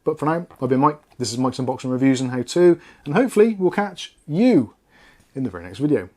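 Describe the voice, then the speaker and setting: A British man giving a casual, conversational tech review in a measured, frank way. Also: mid-range voice, sing-song, darkish tone. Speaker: product review vlogger.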